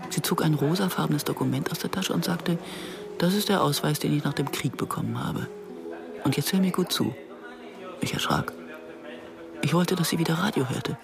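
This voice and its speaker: A woman's voice: low voice, moderate pace, gravelly, pauses often. An actress playing an anxious, introspective woman who narrates a radio drama in the first person. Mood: haunted, reflective. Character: anxious, introspective Israeli woman recalling her past. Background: music.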